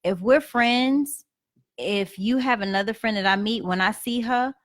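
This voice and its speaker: A woman in her twenties, co-hosting a radio talk show, speaking bluntly and frankly with quick asides. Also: on the high side, dry recording, clean studio sound. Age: 20s. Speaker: guest co-host. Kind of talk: casual chat. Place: radio studio.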